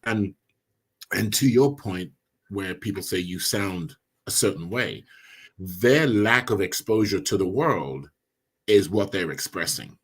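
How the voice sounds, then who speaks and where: A middle-aged immigrant man hosting a podcast, speaking in a measured, deliberate way. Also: low voice, lively intonation, slightly rough. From immigrant, came as a child. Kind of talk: casual discussion.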